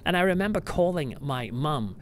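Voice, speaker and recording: A man's voice: a little high, lively intonation, normal speed, a little hiss or hum. A British man hosting a podcast, narrating in a storytelling way with emphatic phrasing and conversational asides. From United Kingdom. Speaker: podcast host and church pastor. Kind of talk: scripted narration with conversational asides, reflective review. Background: music behind the voice.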